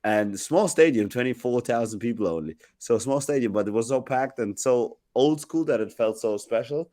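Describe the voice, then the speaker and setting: A man who is sick and sounds deeper than usual, telling a rambling story on a casual podcast with many restarts. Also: expressive, normal speed, warm tone, no echo, clean studio sound. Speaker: podcast co-host.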